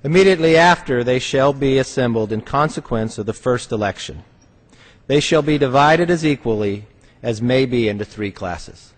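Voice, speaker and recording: A man's voice: medium pitch, expressive, normal speed, poor audio. An American congressman formally reading a legal text aloud.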